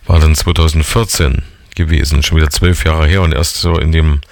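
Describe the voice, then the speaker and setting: A man's voice: extremely low pitch, fairly even intonation, moderate pace, creaky, no room sound. A man in his forties hosting a conversational radio interview, putting probing questions to his guest. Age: 40s. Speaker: radio host interviewing a musician.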